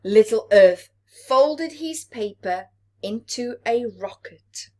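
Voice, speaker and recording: Expressive, unhurried woman reading a children's story. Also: fairly high pitch. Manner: dramatic, deliberate, addressing young listeners. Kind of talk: expressive read-aloud picture-book narration with whispered asides.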